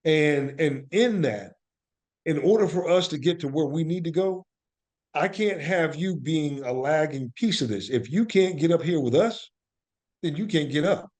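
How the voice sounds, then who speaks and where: An American man speaking as an interview guest, telling stories in an animated, emphatic conversational way and often checking that the listener follows. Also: a little high, normal speed. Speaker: guest, actor and playwright.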